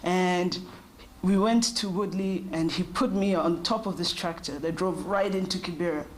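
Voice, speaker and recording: A woman in her thirties answers interview questions in a measured, reflective way. Her voice sits fairly low and her pace is unhurried. A faint noise hums behind her. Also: poor audio. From Kenya. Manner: thoughtful, measured, with short pauses. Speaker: interview guest.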